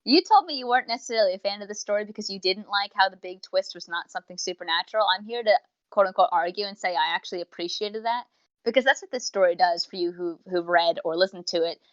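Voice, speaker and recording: A woman's voice: medium pitch, quick pace. A woman co-hosting a podcast, chatting casually and explaining her opinions in long, wordy, unscripted sentences. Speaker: podcast co-host. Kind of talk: casual unscripted review chat. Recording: slightly dull, lo-fi microphone sound.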